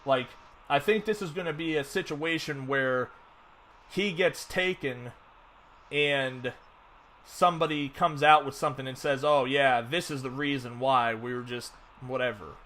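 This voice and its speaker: A man's voice: medium pitch, a little slow, fairly bright tone, a little hiss or hum. A man hosting a casual fantasy football podcast, rambling with lots of fillers and restarts. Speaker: podcast host. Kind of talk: casual sports podcast chat.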